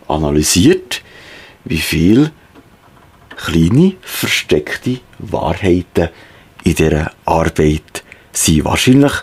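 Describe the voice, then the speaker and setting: A man in his sixties with a Swiss German accent, presenting in a halting, thoughtful, reflective way. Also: deep voice, darkish tone, slightly rough. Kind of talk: reflective spoken commentary. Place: small attic home studio.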